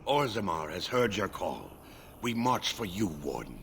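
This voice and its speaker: A man's voice: low voice, big pitch swings, a little slow, vocal fry, a bit bright, a little hiss or hum, heavily acted. An actor playing a solemn dwarf warrior pledging his troops in a fantasy video game. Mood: solemn, resolute. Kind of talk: scripted fantasy game dialogue.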